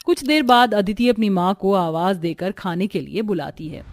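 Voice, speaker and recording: A woman's voice: mid-range voice, normal speed, no room sound. A woman working as narrator, reading a scripted Hindi cartoon story.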